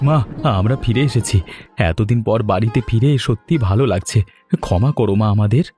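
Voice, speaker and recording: A man voicing a well-meaning village man in an animated family story, talking conversationally. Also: fairly low pitch, a little fast, faint music behind, close to an everyday voice. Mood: apologetic. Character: village men: reassuring doctor and apologetic husband.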